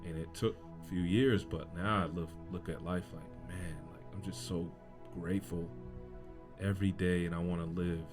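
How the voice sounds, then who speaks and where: Very deep, soft-spoken young man. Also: steady tone, moderate pace, music in the background. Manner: laid-back, soft-spoken, laughs easily. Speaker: co-host. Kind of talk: casual faith podcast chat.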